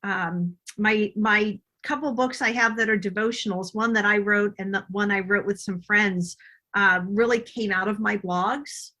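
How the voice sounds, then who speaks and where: A woman's voice: mid-range voice, steady tone, unhurried, bright, forceful tone. A middle-aged woman answering interview questions as a guest author, explaining herself in a reflective, conversational way.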